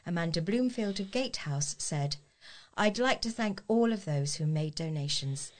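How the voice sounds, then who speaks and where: A woman's voice: on the low side, expressive, moderate pace. A woman with a British English accent reading scripted local news aloud as a volunteer newsreader.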